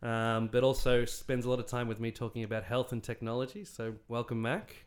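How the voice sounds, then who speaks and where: A man's voice: mid-range voice, fairly quick. A man co-hosting a podcast in casual chat, friendly and a little nervous as he introduces and questions a guest. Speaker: podcast co-host.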